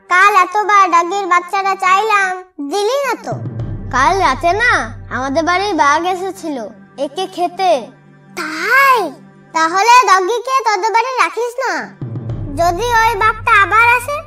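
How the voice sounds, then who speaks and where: A woman's voice: very high-pitched, measured pace, long pauses, sharp tone, distant mic, faint music behind, heavily acted. A woman voicing an innocent, eager little village boy in a Bengali children's cartoon. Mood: chatty.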